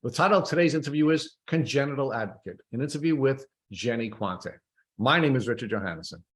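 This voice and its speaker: A man hosting a podcast, giving enthusiastic introductions and asking measured, deliberate questions with small "uh" pauses. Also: medium pitch, expressive. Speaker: podcast host.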